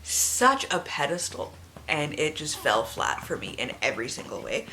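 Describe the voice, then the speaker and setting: A woman with a slightly low voice talks casually to her viewers as a book reviewer. She is informal and emphatic, swearing freely as she gives her opinions. There is some noise behind her. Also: sing-song, normal speed, pushed and bright, rough recording. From Canada. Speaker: book reviewer, video host. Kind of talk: casual chatty vlog.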